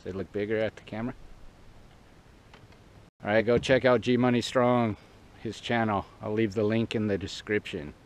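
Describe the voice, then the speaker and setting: A middle-aged man chatting casually and joking while bass fishing from a boat on a river, caught by an action camera. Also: steady tone, moderate pace, long pauses. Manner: laid-back, joking banter. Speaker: fishing vlogger.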